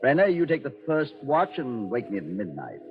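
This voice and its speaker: An actor playing a coldly menacing, arrogant colonel, in 1940s radio style, formally polite and sardonic. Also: male voice, medium pitch, huge pitch swings, normal speed. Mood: smug, sardonic. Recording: muffled 1940s radio recording with music.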